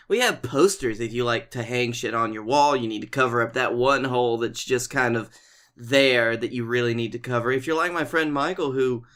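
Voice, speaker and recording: A man's voice: medium pitch, sharp tone. A man co-hosting a comedy podcast, chatting casually in a wry, rambling, sometimes profane way. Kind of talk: casual chat and rambling anecdotes.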